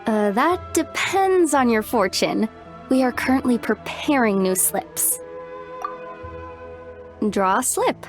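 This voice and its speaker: A woman's voice: fairly high pitch, expressive, slow, long pauses, soft background music. A woman playing a polite, apologetic young shrine attendant in a video game, formal and slightly flustered.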